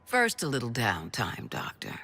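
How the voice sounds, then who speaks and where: Gravelly, husky, commanding boss. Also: male voice, medium pitch, huge pitch swings, moderate pace, pushed and bright, full character voice. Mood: hard, businesslike. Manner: curt, authoritative. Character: blunt, commanding government task force boss, hard bargainer. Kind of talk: video game voice acting.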